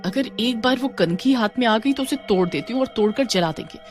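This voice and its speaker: A woman's voice: a little high, lively intonation, a little fast, faint music behind, close to an everyday voice. A woman voicing an indignant, distressed young village wife in a cartoon horror story, with dramatic, complaining delivery. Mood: worried. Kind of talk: acted cartoon dialogue.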